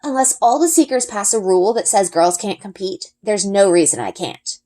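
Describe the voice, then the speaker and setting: A woman's voice: medium pitch, lively intonation, moderate pace, mellow, lightly acted. A woman voicing a determined young heroine in a children's storybook, speaking emphatically. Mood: defiant. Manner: defiant, emphatic.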